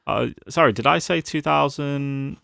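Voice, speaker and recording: A man's voice: medium pitch, a little slow, gravelly, pushed and bright, no echo, clean studio sound. A man co-hosting a podcast, talking about films in an informal, conversational way. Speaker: podcast co-host. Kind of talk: casual film discussion.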